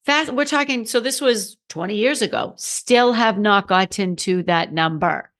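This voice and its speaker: A middle-aged woman, slightly hoarse in the morning, hosting a solo podcast in a candid, conversational way and repeating phrases for emphasis. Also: mid-range voice, a little slow, fairly bright tone. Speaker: podcast host. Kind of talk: solo motivational podcast talk.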